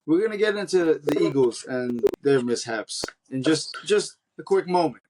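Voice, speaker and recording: Teasing podcast co-host, faint noise. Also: male voice, a little high, expressive, normal speed. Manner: teasing, laughs often, steers the talk. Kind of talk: casual sports chat.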